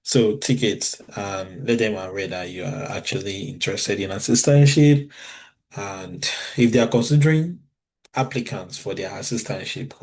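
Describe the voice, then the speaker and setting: A man with a Nigerian English accent and a fairly low voice talks informally, often pausing on fillers and restarting his sentences. He sounds like someone giving practical advice in a relaxed session. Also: gravelly. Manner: conversational, with frequent fillers and restarts. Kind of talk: informal talk.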